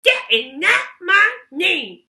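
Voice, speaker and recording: A woman voicing a gleeful, menacing male goblin from a storybook, with a taunting, cackling, drawn-out delivery. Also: extremely high pitch, very animated, bright, forceful tone, voice pushed up, heavily acted. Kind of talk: storybook read-aloud character voice.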